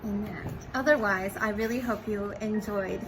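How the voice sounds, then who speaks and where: A woman's voice: mid-range voice, steady tone, moderate pace, music in the background. A woman reading a storybook aloud and chatting casually to young viewers and families. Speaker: teacher reading aloud to children.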